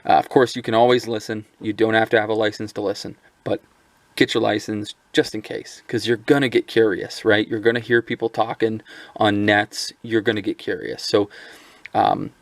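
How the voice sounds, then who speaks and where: A man's voice: lowish voice, level tone, moderate pace, vocal fry, dry recording. A man giving a casual, rambling product review, with many fillers and restarts. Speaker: radio gear reviewer.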